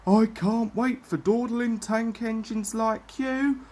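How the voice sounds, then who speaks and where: A woman voicing a sickly, mournful male steam engine in a children's storybook, with plaintive, drawn-out complaining. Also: on the high side, fairly even intonation, mellow, pitched above the performer's own voice. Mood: cocky.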